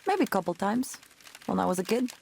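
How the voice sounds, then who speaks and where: Wry young student, lively creaky voice. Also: female voice, medium pitch, a little fast, slight background noise. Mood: relaxed. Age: young adult. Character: easygoing, wry young female student by a campfire. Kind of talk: naturalistic visual novel voice acting. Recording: music behind the voice. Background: background music.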